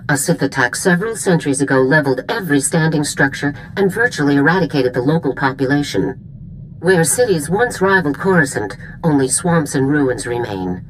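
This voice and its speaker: A woman's voice: low voice, slight background noise, low-quality recording. An actress playing an authoritative, businesslike female army general giving a military briefing in a video game. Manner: crisp military briefing, commanding. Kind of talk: video game cutscene acting.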